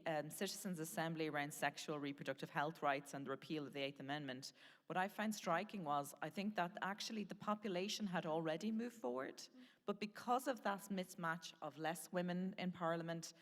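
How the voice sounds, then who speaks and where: An Irish woman chairing a conference panel, her voice sitting notably low for a woman. She talks conversationally, with ums and small restarts. Her voice carries a long reverb from a big hall. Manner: conversational, with hesitant fillers and restarts. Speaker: panel moderator. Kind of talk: moderating a panel discussion. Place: conference centre session room.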